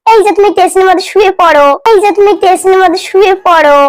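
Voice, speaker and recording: Woman prank calling, really high pitched, talks quick, teasing chatty style. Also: no echo. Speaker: prank caller. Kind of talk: casual teasing phone chat.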